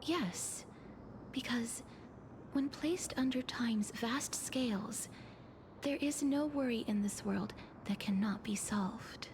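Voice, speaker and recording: An actress voicing a lonely, solemn, millennium-old woman of great power in a soft, breathy, measured game performance. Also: fairly high pitch. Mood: solemn resolve. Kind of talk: dramatic video game voice acting. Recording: game audio with clear background noise.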